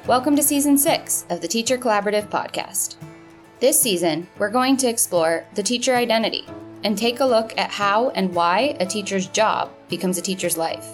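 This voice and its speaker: A woman narrating a scripted podcast season introduction in a smooth, even read. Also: mid-range voice.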